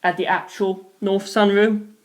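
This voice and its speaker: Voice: medium pitch, little pitch movement, unhurried, natural voice. A polite young male sleuth from an audiobook, acted out by the narrator, speaks in a mid-range voice. He is confident and formal, setting out his reasoning methodically. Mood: confident explaining.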